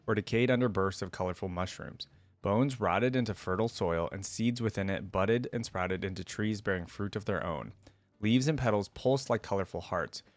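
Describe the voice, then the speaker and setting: A man's voice: on the low side, no echo. A man hosting a reaction video, moving between casual, informal commentary and reading a story aloud. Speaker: YouTube reaction host.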